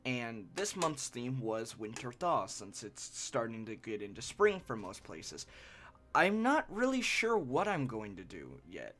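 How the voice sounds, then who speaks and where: A young man live-streaming his drawing session, sniffly from allergies and chatting in a rambling, halting, apologetic way. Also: mid-range voice, very animated. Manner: rambling and halting, with frequent restarts; self-deprecating and apologetic. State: sniffly, stuffy nose from allergies. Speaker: art streamer. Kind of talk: casual live-stream chat while drawing. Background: soft background music.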